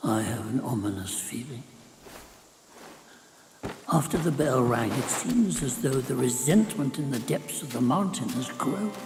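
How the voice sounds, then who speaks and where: A man's voice: mid-range voice, expressive, unhurried, slight background noise. A man voicing a brooding, wry mountain spirit in a game, with a musing, dramatic delivery. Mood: uneasy, wry. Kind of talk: game cutscene voice acting. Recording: echoey, long hall reverb.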